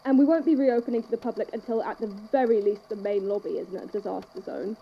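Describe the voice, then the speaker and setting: A woman playing a composed, wry institute head in a scripted audio drama, speaking in a measured, conversational way. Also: a little high, muffled recording, a little hiss or hum, close to an everyday voice. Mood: warm, reassuring. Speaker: podfic voice actor, institute head narrating a statement.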